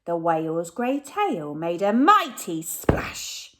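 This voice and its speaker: A woman teacher reading a rhyming picture book aloud to children, animated, sometimes dropping to a whisper. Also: lowish voice, slow.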